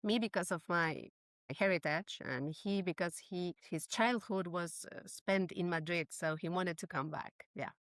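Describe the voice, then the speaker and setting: A woman's voice: fairly low pitch, a little slow, fairly bright tone, no echo. A woman from Chile with a Chilean Spanish accent, answering as a podcast guest in casual, conversational English.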